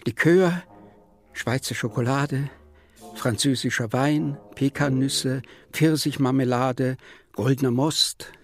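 Courteous, savouring head waiter over faint music. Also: male voice, mid-range voice, fairly even intonation, slow pace. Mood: wistful, courteous. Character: courteous, sentimental head waiter announcing fine delicacies. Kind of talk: German radio play acting.

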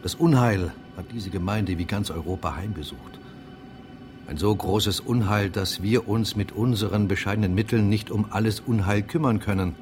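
An actor playing an angry, bullying middle-aged mayor in a German radio drama, scornful and commanding. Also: male voice, fairly low pitch, moderate pace, music in the background, lightly acted. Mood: bitter, grim.

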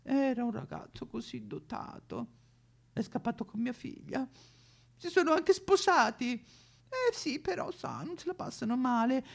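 Shrill, creaky, snobbish housewife. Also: male voice, exaggerated voice. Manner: affected, rambling, swooning, whimpering. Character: batty, snobbish, xenophobic rich housewife, easily distracted. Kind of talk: satirical character monologue.

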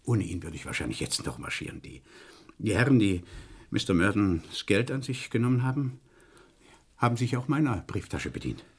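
A meek male clerk giving a statement in a radio crime play. His voice is very husky and creaky, swinging widely in pitch. He hesitates and apologizes politely as he goes. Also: sing-song, moderate pace, full character voice. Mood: apologetic, rambling.

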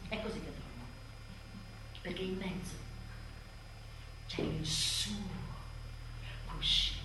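A woman's voice: lowish voice, natural voice. An actress playing an exhausted, exasperated new mother in a bitterly funny, dramatic confessional stage monologue. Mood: hushed, wry. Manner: dramatic, sardonic, swinging from muttered to shouted. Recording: roomy distant theatre sound, faint noise.

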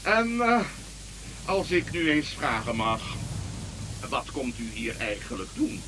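A male actor playing a dignified, courteous, pedantic king in a comic radio play, deliberate and given to puzzled asides. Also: medium pitch, very animated, noisy background. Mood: slightly affronted.

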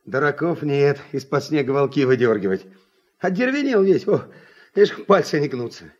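Raspy, grumbling farm shirker, muffled recording. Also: male voice, fairly high pitch, lively intonation, normal speed, a little mellow. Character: sullen, quarrelsome shirking farm mechanic. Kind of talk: Soviet radio drama acting. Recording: dull muffled old radio recording.

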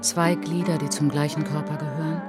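A woman playing an introspective, wounded traveller who recalls an outcast childhood in a measured, poetic radio drama monologue, with a husky voice. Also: sits low, flat delivery, loud music behind the voice, lightly acted. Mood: grave, sorrowful. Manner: measured, deliberate, short phrases. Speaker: narrator and lead of an autobiographical radio play.

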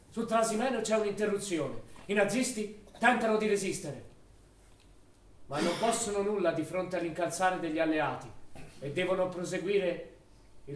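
An actor playing an urgent wartime chronicler, proclaiming front-line news in theatrical narration. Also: male voice, sits high, close to an everyday voice. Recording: echoey stage, distant microphone.

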